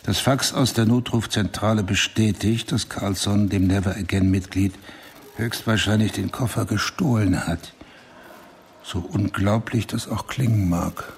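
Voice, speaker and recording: An actor playing a methodical police inspector in a German radio play, dictating case notes in an official, measured manner. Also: male voice, on the low side, steady tone, a little hiss or hum. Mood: dry, factual.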